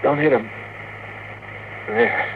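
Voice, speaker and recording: A man's voice: mid-range voice, slow pace, natural voice. An actor playing a practical, caring spaceman giving terse orders in a radio drama. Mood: practical, concerned. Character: practical, gruff but caring male spaceman. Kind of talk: 1950s radio drama acting. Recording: muffled, hissy 1950s radio recording, distant.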